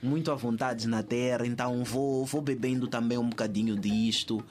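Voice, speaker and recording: A man's voice: mid-range voice, steady tone, moderate pace, a crowd in the background. A man from Mozambique with a Mozambican accent, answering conversationally as an interview guest, often repeating phrases as he thinks aloud.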